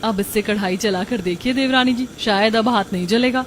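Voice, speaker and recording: A woman's voice: mid-range voice, fairly quick, slight background noise, natural voice. A woman playing polite, homely young Indian daughters-in-law in a cartoon family story. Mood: friendly.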